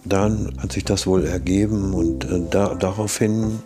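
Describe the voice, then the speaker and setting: An older man reminiscing in a documentary interview, halting as he repeats and rephrases. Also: low voice, steady tone, over music. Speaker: studio eyewitness interviewee, sound engineer.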